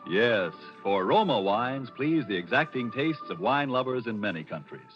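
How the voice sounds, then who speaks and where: A man's voice: medium pitch, very animated, normal speed. An American man announcing a polished, scripted commercial in 1940s radio style. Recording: muffled old radio broadcast, faint music.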